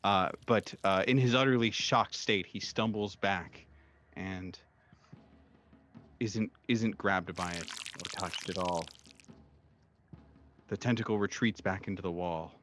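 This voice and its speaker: A man narrating a horror tabletop roleplaying game as game master, feeling out his words with halting repetitions. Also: low-pitched, fairly even intonation, a bit bright, a little hiss or hum.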